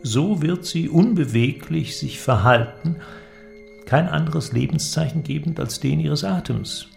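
An actor playing an eloquent, persuasive inventor in a radio drama, with a declamatory, deliberate delivery and ornate phrasing. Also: male voice, on the high side, vocal fry, faint music behind. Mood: lecturing, enthusiastic. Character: eloquent visionary male inventor, grandiose and persuasive. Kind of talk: German literary radio drama acting.

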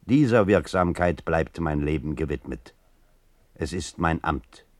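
An actor playing a resolute political writer, declaiming solemnly and with measured emphasis in a radio play. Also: male voice, extremely low pitch, lively intonation, dry recording. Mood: solemn defiance.

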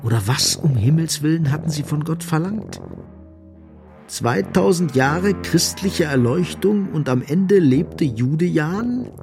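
A man narrates a radio play in a gravelly voice with lively shifts of pitch. His delivery is grave and literary, built on short, emphatic phrases. Music plays faintly underneath. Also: a little slow.

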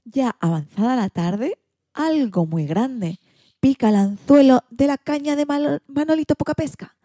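A woman reading a children's storybook in an expressive, theatrical way. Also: high-pitched, unhurried, no echo, studio quality. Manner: theatrical, acts out sound effects and exclamations.

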